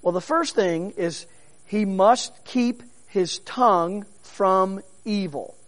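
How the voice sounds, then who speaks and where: A man preaching a sermon, earnest and unhurried, with frequent fillers and repeated words. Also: high-pitched, a bit bright. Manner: preaching with emphatic rises. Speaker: pastor.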